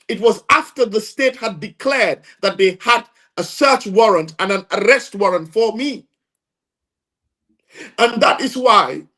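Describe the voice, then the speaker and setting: High-voiced, emphatic Gambian man. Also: sharp tone. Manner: emphatic, insistent, repeats phrases for stress. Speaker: interview guest, lawyer. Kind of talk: impassioned interview testimony.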